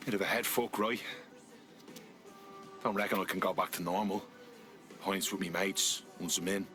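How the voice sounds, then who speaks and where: A man's voice: deep voice, steady tone, moderate pace, sharp tone, soft background music. A man acting a reluctant young everyman recruit in a video game, with a British accent and casual, slangy delivery. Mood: hesitant.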